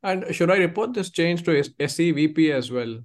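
A man's voice: a little high, expressive, brisk. A young man calling in to a conference call, explaining his situation and asking for advice. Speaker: caller seeking immigration advice.

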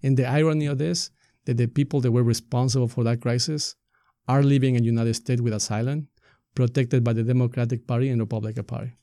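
A man's voice: medium pitch, steady tone, fast talker, mellow, no room sound, clean studio sound. A middle-aged man with an Ecuadorian Spanish accent, explaining things conversationally as an interview guest and often checking points with a tag question. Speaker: podcast guest, community activist and soccer coach.

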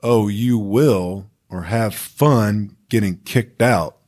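A man playing a firm, no-nonsense school principal in an amateur radio play, speaking in a measured way. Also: lowish voice, a little mellow. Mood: stern.

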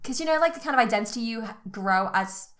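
A woman's voice: fairly high pitch, expressive, fast, fairly bright tone. A woman in her twenties with a Scouse accent, chatting casually as a podcast guest, laughing often and restarting her sentences. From Liverpool, England. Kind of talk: casual chat.

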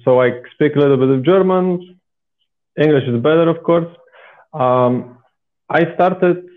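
A man in his twenties with a Bulgarian accent, telling his story enthusiastically and emphatically as a podcast guest. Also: medium pitch. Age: 20s. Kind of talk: casual interview talk, personal storytelling. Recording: phone-like, thin internet call audio.

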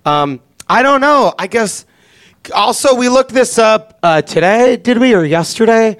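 A man with a high voice hosts a live podcast. He talks casually and off the cuff, with a sarcastic, jokey way of teasing the room. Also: animated, unhurried, fairly bright tone. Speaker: podcast host. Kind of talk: casual joking chat with a live audience.

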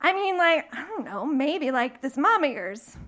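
A woman's voice: sits high, very animated, measured pace, a bit bright. A young woman from the Midwest telling a bawdy, playful personal story on stage in front of a live audience, laughing through her lines. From American Midwest.

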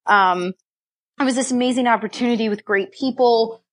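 A woman's voice: a little high, normal speed. A young woman, a podcast guest, telling stories about her past in a chatty way, laughing often and acting out what she once said to herself. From raised in many countries, partly Scotland and Nevada. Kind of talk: casual storytelling.

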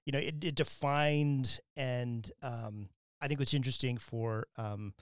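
A man from Wyoming hosting an academic podcast, talking in a loose, rambling way with many restarts and quick laughs. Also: medium pitch, steady tone, clear, resonant tone, no echo, studio quality. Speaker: podcast host. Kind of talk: casual academic interview.

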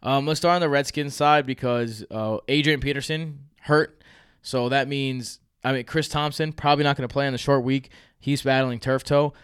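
A man's voice: medium pitch, moderate pace, smooth, no echo, clean studio sound. A man, hosting a fantasy football podcast in loose, joking chat with his brother. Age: 30s. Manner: animated, laughs often, loose and joking.

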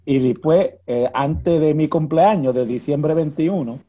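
An older man from Puerto Rico with a Puerto Rican Spanish accent, a retired police officer telling his story as an interview guest in a halting way, repeating words and using many fillers. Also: on the high side, dark tone. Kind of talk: casual interview chat.

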